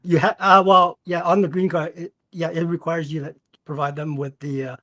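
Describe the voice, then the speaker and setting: A man with a fairly high-pitched voice speaks as a caller to an advice show, in a dry, echo-free sound. His delivery is casual and halting, often circling back to reword what he means. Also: little pitch movement, fairly bright tone. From Canada, born in Singapore. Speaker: caller with a question. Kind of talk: casual question on a call-in show.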